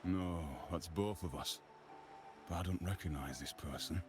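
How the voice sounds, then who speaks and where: A man playing a gruff senior mob henchman in a video game, blunt and animated in manner. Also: sits low, normal speed, music in the background, natural voice. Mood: wary, brusque.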